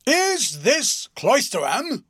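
A male narrator plays a loud, overbearing gentleman who hectors everyone in a tremendous, ferocious voice, pitched up and pushed hard. He speaks with pompous bluster in a storybook reading, the sound close and dry. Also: very animated, moderate pace, no echo, full character voice. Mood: hectoring. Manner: booming and ferocious. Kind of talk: audiobook character voice.

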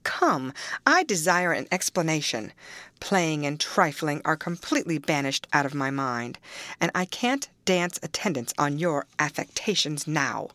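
A woman's voice: low-pitched, expressive, unhurried, fairly bright tone, dry recording. A woman reading aloud, voicing a proud young woman who scolds sharply and demands answers. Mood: demanding.

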